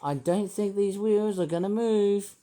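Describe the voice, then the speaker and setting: A man reviewing toys in a rambling, playful vlog, his voice not fully well and breaking into sudden excited outbursts. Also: sits high, a little slow. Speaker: toy reviewer. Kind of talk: casual toy review vlog.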